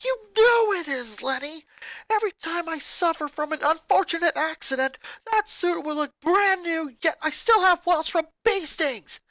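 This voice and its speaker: Woman playing a bitter yelling kid, high piercing voice, dry close sound. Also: expressive, moderate pace, no room sound, rough recording. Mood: furious. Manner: shouting angry rant. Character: furious boy, eleven-year-old, bitter and resentful.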